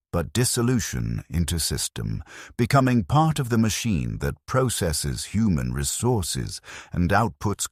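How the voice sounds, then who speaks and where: A man narrating a dark first-person sci-fi story as a bleak, resigned dead convict soldier, in a grave, measured, deliberate way. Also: rumbling low, no echo, clean studio sound. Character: bleak, resigned ghost of a condemned legionnaire.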